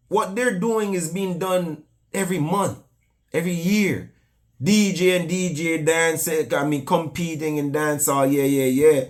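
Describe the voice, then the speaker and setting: Casual Jamaican man vlogging. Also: fairly high pitch. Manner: animated, slips into patois, many fillers. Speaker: YouTuber reacting to music. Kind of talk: casual reaction vlog talk.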